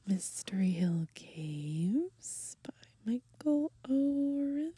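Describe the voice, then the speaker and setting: A young woman whispering in a hushed, breathy voice for ASMR, unhurriedly reading from a book and adding gently musing asides. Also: fairly high pitch, very animated, extremely slow, dry recording. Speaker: ASMR host. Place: outdoors on grass.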